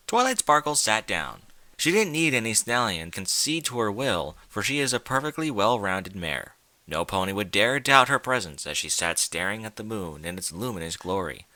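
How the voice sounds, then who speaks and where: A man's voice: deep voice, pushed and bright, no echo. A man reading fan fiction aloud as a theatrical, emphatic narrator. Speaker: story narrator.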